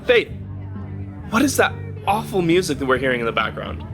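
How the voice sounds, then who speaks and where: A man's voice: fairly high pitch, very animated, brisk, music in the background, crowd noise. A man playing an earnest radio news anchor delivering breaking news in an audio drama. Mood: bothered. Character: earnest, oddly priority-confused male radio news anchor.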